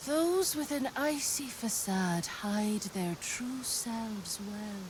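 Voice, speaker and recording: A very slow, whispery mystic creature. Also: female voice, fairly high pitch, lively intonation, slight background noise. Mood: cryptic, probing. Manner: slow, cryptic, deliberate, breathy whispering. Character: mysterious female fey creature testing lovers' bond. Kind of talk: fantasy video game acting.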